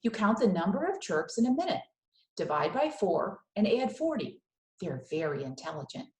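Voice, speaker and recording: A woman's voice: on the low side, sing-song, normal speed, darkish tone, roomy sound, rough recording. A woman voicing an earnest young boy in a children's storybook, pleading and insistent. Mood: explaining eagerly.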